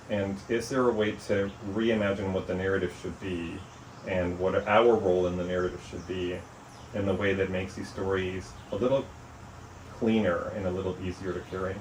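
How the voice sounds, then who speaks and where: A man with a very low voice talks in a measured, reflective way, often pausing on "um" and "you know". He sits a little back from the microphone in a roomy, echoing space with faint noise underneath. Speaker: author interviewed about his book. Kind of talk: reflective interview conversation. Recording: echoey room, mic at a distance, faint noise.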